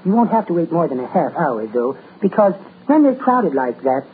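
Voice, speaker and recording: An actor playing a meek, fussy, soft-spoken male neighbor in 1940s radio comedy. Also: on the high side, big pitch swings, moderate pace. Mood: mild. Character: meek, mild-mannered bird-loving adult male neighbor. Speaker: visiting neighbor. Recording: tinny old radio broadcast with faint hiss.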